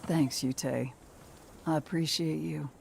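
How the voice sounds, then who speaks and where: A woman's voice: fairly even intonation, takes it slowly, vocal fry, darkish tone, a little hiss or hum, exaggerated voice. An actress playing a guarded, war-weary woman mech pilot in a video game, speaking in a terse, dry way. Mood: grateful, subdued. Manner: terse, low-key, dry. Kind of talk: video game cutscene acting.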